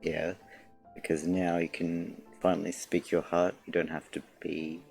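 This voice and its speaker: Very deep, monotone male streamer. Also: a little slow, vocal fry. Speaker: game streamer. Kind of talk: casual livestream commentary, talking back to game dialogue. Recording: game music behind the voice.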